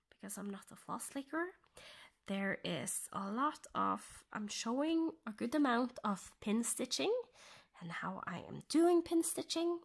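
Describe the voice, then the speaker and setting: Norwegian woman, unhurried stitch-along host, gentle near-whisper, thinks aloud between pauses. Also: medium pitch, vocal fry. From Norway. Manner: soft-spoken, often half-whispered, thinking aloud with pauses. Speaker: cross-stitch vlogger. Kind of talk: casual stitch-along tutorial.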